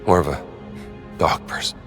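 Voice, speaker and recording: An actor playing a gruff, wry, scholarly middle-aged American adventurer archaeologist in a video game. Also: male voice, normal speed, over music, close to an everyday voice. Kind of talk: cinematic game acting.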